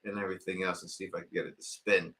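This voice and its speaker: Middle-aged New man, live-stream host, bright edge, casual and wandering delivery. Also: deep voice, flat delivery, very fast. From Queens, New York. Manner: rambling, lots of filler phrases.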